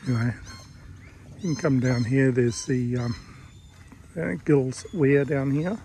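Australian man in his 50s, laid-back travel vlogger, mid pitch, talking to a handheld camera outside with birds and faint bush noise behind. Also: a little slow. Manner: relaxed, chatty, with many fillers. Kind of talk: casual vlog to camera. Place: outdoors in a pine forest campsite. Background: birdsong and bush ambience.

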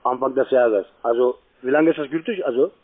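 A man with grammar makes an insistent cold-call pitch. He talks quickly at a medium pitch, and the line is tinny and narrow like a telephone. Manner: pushy, insistent, halting grammar. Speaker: call center electricity salesman. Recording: tinny, band-limited phone line.

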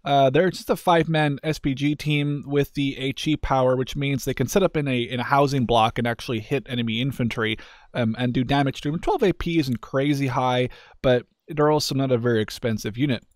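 A man with an average-pitched voice talks through a video game in a relaxed, unscripted style. He is candid and conversational, often thinking aloud. The sound is dry, with no room echo. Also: fairly quick. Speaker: gaming video creator. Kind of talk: casual off-the-cuff game commentary. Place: indoors, voice-over on game footage.

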